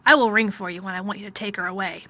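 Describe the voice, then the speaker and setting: A woman voicing a troubled, fretful middle-aged gentleman in a storybook reading; loud, weary and distracted. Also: medium pitch, steady tone, rapid-fire.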